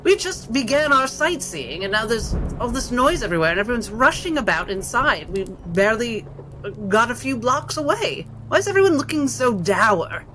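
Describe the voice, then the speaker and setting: A woman's voice: on the high side, sing-song, normal speed, a bit bright, a little hiss or hum, pitched above the performer's own voice, poor audio. Woman playing a theatrical, breezy lady doctor. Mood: feigned bewilderment. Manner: performative, theatrical. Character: woman doctor putting on breezy, haughty tourist act.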